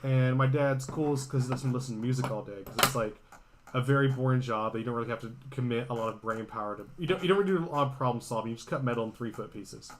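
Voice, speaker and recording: A man's voice: mid-range voice, fast talker, a little hiss or hum. A man co-hosting a casual podcast, rambling and restarting his sentences as he rants. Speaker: podcast co-host. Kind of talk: casual chat.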